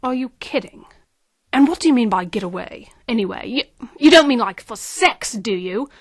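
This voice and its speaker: A woman's voice: fairly high pitch, animated, moderate pace, no echo, clean studio sound. An actress playing a haughty, incredulous, dismissive businesswoman in an audio drama. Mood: incredulous, scornful.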